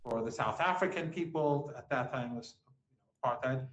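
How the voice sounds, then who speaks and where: A man's voice: mid-range voice, a little slow, a bit bright, reverberant. A man giving spoken political commentary as an interview guest.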